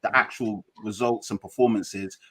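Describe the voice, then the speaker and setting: A man's voice: lowish voice, steady tone, moderate pace, pushed and bright. A man with a London accent, a guest football fan chatting casually and making his points in emphatic slang. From London, England. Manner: slangy, explanatory, emphatic repetition. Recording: noisy online call.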